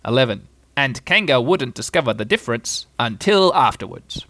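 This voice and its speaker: A man voicing a bossy, brisk, self-important scheming rabbit in a children's storybook. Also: medium pitch, very animated, sharp tone, dry recording. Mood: proud.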